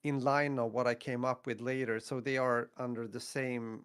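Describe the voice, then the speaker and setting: Male podcast co-host, mid-range voice, casual and halting, full of "you know" and "I mean.". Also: mid-range voice, little pitch movement, fairly bright tone, smooth. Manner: hesitant, repeats words, stacks "you know" and "I mean". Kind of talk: casual chat.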